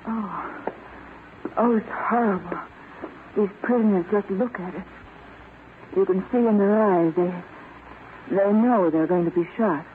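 A woman's voice: medium pitch, a little slow, long pauses, natural voice. An actress playing a scheming female spy who poses as a refugee, in a melodramatic 1940s radio drama style. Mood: uneasy, probing. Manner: melodramatic, emotional. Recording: muffled, tinny, noisy old radio recording.

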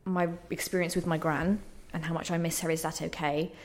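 Youngish woman being interviewed, bit low voiced, hesitant and reflective, plays herself down. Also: flat delivery, a little fast. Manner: hesitant, self-deprecating, thoughtful.

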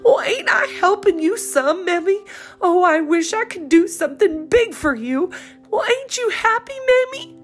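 A woman's voice: animated, a little slow, few pauses, faint music behind, heavily acted. Woman doing a tearful young girl. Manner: high, crying, breathless pleading. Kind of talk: audiobook character voice.

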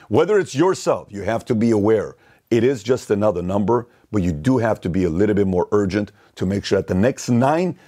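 A man hosting a motivational video, telling stories to the camera and acting out worried voices. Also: fairly low pitch. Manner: animated storytelling, acts out worried voices.

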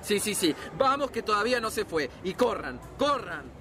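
Actor as high-voiced, exasperated Argentine family dad. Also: male voice, big pitch swings, moderate pace. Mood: frantic. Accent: Argentine Rioplatense. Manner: exasperated, sweary, bossy. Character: harried, short-tempered Argentine family father. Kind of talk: broad family comedy sketch acting. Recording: loud street and vehicle noise behind.

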